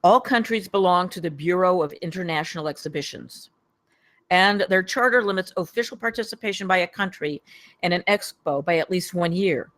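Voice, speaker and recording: A woman's voice: on the low side, a little fast, fairly bright tone, close to an everyday voice. Woman reading a weary, earnest former government man. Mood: matter-of-fact. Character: ex-government man, weary, defensive, an earnest Disney ride fan. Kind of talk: script table read.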